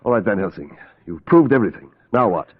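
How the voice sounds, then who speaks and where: A man's voice: lowish voice, lively intonation, fast, noisy background, natural voice. Male actor as brusque skeptical doctor, clipped and impatient, phone-thin vintage radio sound. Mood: troubled, skeptical. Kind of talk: old-time radio drama acting. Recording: tinny narrow-band vintage radio recording.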